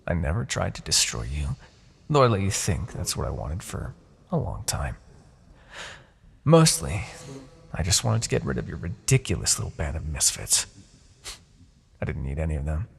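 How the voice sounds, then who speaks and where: A man playing a smug, seductive, possessive Demon King in a fantasy ASMR roleplay, with a gravelly, breathy voice and theatrical, taunting delivery. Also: rumbling low, sing-song, exaggerated voice. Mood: wounded, proud. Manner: theatrical, taunting, drawn-out phrasing with soft asides.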